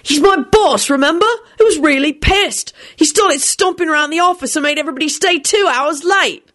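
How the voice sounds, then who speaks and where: An irritated, suspicious man from a story acted out by a woman, pitched high. He grumbles and demands answers, always sounding wronged and ready to argue. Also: moderate pace, fairly bright tone. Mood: accusing, aggrieved. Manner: demanding, complaining. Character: belligerent, grumbling adult man, suspicious and aggrieved.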